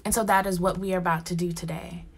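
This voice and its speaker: A woman's voice: fairly low pitch, fast. Young woman from Ghana teaching poetry. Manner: expressive, addresses students directly and casually. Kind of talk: recorded online poetry lesson. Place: small room indoors at home. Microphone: phone camera at arm's length.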